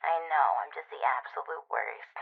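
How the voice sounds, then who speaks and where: A woman playing an affectionate, teasing necromancer on a phone call, husky and casual, in an intimate audio roleplay heard through a telephone filter. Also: low voice, expressive, measured pace, full character voice. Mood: playful, reassuring. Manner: playful, casual, reassuring. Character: affectionate, teasing necromancer phoning their partner.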